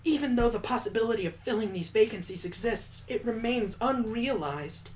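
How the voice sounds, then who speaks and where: An enigmatic older schoolgirl from a visual novel, acted out by a man, speaks in a raised, measured voice. She is formal and composed, over a noisy recording. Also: extremely high pitch, expressive, a little mellow, voice pushed up. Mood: pensive.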